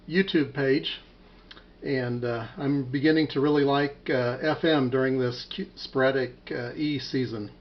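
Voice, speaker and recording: An American man talking casually as an amateur radio operator, measured and a little hesitant, on a muffled home recording. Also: medium pitch.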